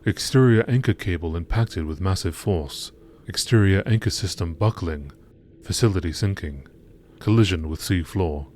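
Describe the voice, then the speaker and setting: A man's voice: low voice, normal speed, darkish tone, slight background noise, close to an everyday voice. A man voicing a grave, impersonal sci-fi facility log in a formal, detached manner. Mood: grave, official. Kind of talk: scripted sci-fi game log narration.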